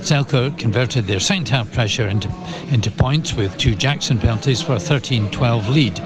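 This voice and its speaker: A man's voice: mid-range voice, moderate pace, crowd noise. A man giving a scripted rugby match report as a reporter from an outdoor ground.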